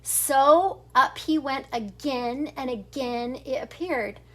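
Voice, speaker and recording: A woman's voice: high-pitched, takes it slowly, a bit bright. An older woman, a grandmother, reading a children's storybook aloud in an informal, giggly way with chatty asides.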